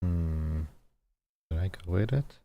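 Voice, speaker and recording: A man's voice: deep voice, lively intonation, takes it slowly, highs cut off. A man doing casual let's play commentary, hesitant and muttering to himself. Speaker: game streamer.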